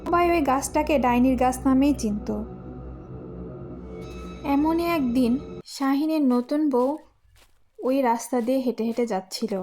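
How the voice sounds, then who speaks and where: A woman's voice: high voice, moderate pace, long pauses, a little mellow, faint music behind. A woman giving scripted storytelling narration for a cartoon tale.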